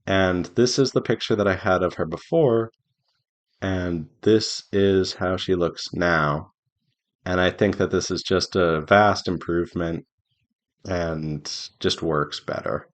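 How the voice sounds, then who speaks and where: A man giving an informal devlog update as an indie game developer, speaking haltingly as he thinks aloud. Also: sits low. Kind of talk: informal progress update to viewers.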